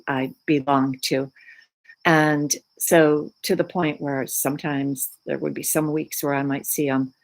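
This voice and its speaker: Slow, low-voiced woman, born in Poland. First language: Polish. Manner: unhurried, searching for words with many fillers. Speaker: spiritual teacher and Reiki practitioner. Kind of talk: casual talk showing her crystals.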